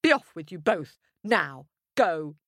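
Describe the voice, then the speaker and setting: A woman's voice: fairly low pitch, very animated, slow pace, fairly bright tone, dry recording, studio quality, exaggerated voice. A woman voicing a pompous, impatient king in a folk tale, imperious and blustering. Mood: scornful, dismissive. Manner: imperious, blustering, repeats himself. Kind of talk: storybook character voice.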